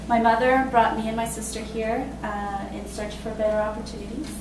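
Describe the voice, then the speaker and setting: A woman in her twenties from Costa Rica, telling her own story in a casual, halting way with many fillers and laughs. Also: a little high, level tone, heavy reverb, recorded from a distance, noisy background. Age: 20s. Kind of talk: personal spoken testimony.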